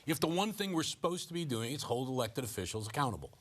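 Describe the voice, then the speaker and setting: A man's voice: mid-range voice, sing-song, quick pace, a bit bright. An older man, a journalist, talking conversationally as a panelist on a discussion show.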